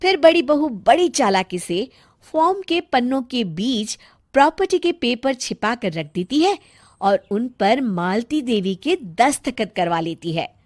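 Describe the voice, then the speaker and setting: A woman narrates a cartoon story from a script. Her voice is high and her pace measured, recorded close and dry. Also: big pitch swings, no echo.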